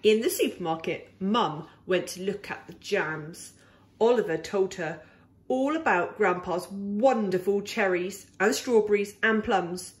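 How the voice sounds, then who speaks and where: A woman reading a children's picture book aloud in an animated, expressive, deliberate way. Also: mid-range voice.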